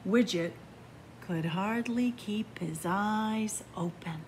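A woman reading a picture book aloud to children in a library, with slow, expressive storytelling, hushed whispers and excited rises. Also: mid-range voice, slight background noise. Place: library in front of bookshelves. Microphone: camera facing the book.

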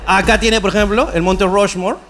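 A man's voice: high-pitched, fairly even intonation, brisk, fairly bright tone. A man in his thirties with a Peruvian accent, hosting a comedy show and playfully teasing the audience on a handheld mic. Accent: Peruvian Spanish. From Peru. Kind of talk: live crowd-work banter. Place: large theater stage with audience. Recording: live theater with crowd and music.

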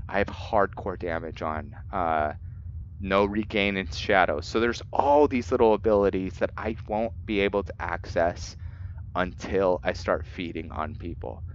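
A man giving casual, halting gameplay commentary with roleplay narration, thinking aloud as he goes. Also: deep voice, fairly bright tone. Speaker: gameplay video host. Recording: dull lo-fi mic, noisy background.

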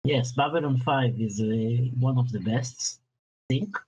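A man in his late thirties with an Israeli accent, a guest speaking hesitantly with pauses over a video call. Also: mid-range voice, a little mellow, slightly rough, highs cut off. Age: 30s. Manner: hesitant, plain phrasing with pauses. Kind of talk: casual interview chat.

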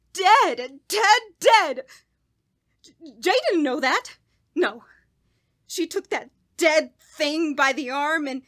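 A scheming, guilt-haunted murderous woman acted by a woman in a radio horror drama. Her voice is high and sharp-edged, and she takes her time, curt and brooding, leaving dramatic pauses. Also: heavily acted. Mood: horrified.

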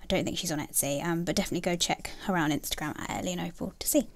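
Soft, gravelly young woman's haul chat. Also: on the low side, steady tone, rapid-fire. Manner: soft, gushing, with many ums and likes. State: has a bad cold, nasal and throaty. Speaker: planner sticker haul vlogger. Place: indoors at a table, filming her hands.

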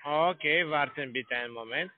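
Halting man on a tinny phone line. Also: on the high side, no echo. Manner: halting broken German, many fillers. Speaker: phone scammer caller. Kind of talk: phone call.

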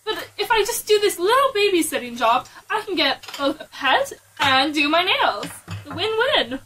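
A woman's voice: extremely high pitch, big pitch swings, moderate pace, pushed and bright, slight background noise, exaggerated voice. A woman playing a perky, vain young secretary who babysits, in a comic audio drama. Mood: chatty, breezy.

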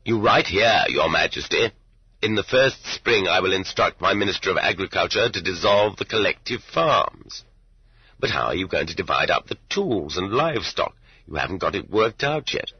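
A man's voice: sits low, animated, normal speed, husky, heavily acted. A man voicing a mocking, condescending lieutenant colonel interrogator in an audiobook, with sarcastic, pointed questioning. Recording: dull lo-fi roomy cassette audiobook.

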